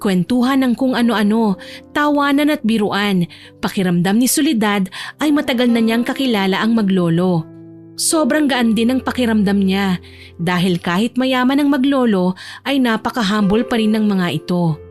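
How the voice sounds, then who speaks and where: A woman's voice: fairly high pitch, animated, normal speed, faint music behind. A woman reading scripted narration for a dramatic romance audio story. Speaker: narrator and voice actor.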